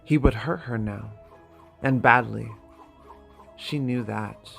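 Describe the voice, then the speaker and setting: A man narrating a fantasy story from a script in a measured, dramatic manner. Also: medium pitch, creaky, soft background music.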